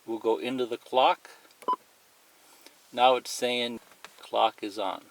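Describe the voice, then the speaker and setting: Male tutorial presenter, unhurried and plain-spoken, medium pitch, explaining a device step by step on a noisy home-made recording. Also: fairly even intonation. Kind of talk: casual hands-on product demonstration. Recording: clearly noisy amateur recording, clicks and beeps.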